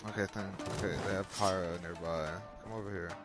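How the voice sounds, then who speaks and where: A man's voice: deep voice, steady tone, brisk. A young man commentating casually as he plays a video game, loose and reactive, talking back to the game. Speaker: gamer, video host. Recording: loud game music behind the voice.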